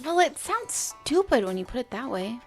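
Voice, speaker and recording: A woman's voice: high-pitched, sing-song, normal speed, soft background music. A woman playing a flustered, defensive young university researcher who rambles nervously in a sci-fi comedy audio drama. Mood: defensive, pleading.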